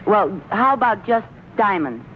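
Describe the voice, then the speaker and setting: Wheedling housewife on tinny old radio. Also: female voice, medium pitch, very animated, measured pace, a little hiss or hum. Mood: coaxing. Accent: American. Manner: theatrical, coaxing. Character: wheedling, playful, money-minded housewife. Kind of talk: 1940s-50s radio situation comedy acting.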